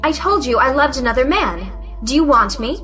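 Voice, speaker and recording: A woman's voice: high-pitched, very animated, normal speed, a bit bright, soft background music. A woman playing a cynical, mocking young bride-to-be in an audio play, delivered theatrically. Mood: defiant.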